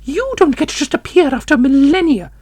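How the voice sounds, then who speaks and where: A man's voice: very high-pitched, lively intonation, fairly quick, darkish tone, dry recording. A man voicing an ancient divine younger sibling, wounded and aching, in an audio roleplay drama. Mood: anguished, angry.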